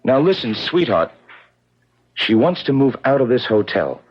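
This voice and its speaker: Animated, husky-voiced publicity man. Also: mid-range voice, a little slow. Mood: confiding, coaxing. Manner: persuasive, businesslike. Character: smooth-talking, watchful male ballet publicity man. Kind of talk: 1970s radio drama acting. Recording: muffled, vintage 1970s radio broadcast.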